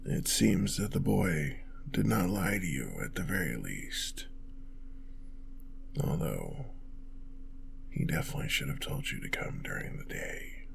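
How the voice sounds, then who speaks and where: A man playing a big, predatory male werewolf in a hushed ASMR roleplay, with a breathy growl and a formal, taunting, mockingly civil manner. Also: a little hiss or hum, heavily acted. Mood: intrigued, mocking. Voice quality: very deep, gravelly, breathy growl, often near a whisper. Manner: slow, deliberate, formal, taunting menace.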